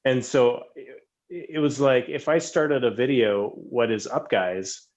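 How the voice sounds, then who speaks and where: An easygoing man talks thoughtfully as a podcast guest, poking gentle fun at himself. His voice sits at a middle pitch, and the sound is a little dull and lo-fi. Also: a little slow. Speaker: knife designer guest. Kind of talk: casual podcast interview.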